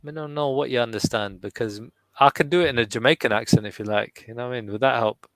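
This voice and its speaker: A man from West London with a West London accent, talking over others in a casual live chat, quick and defensive. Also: medium pitch, dry recording. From West London, England. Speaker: guest poet on a live audio room.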